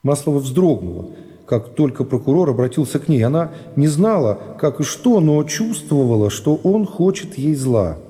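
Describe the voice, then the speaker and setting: A man narrating a literary work for radio in a measured, expressive dramatised style. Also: fairly high pitch, in a large hall.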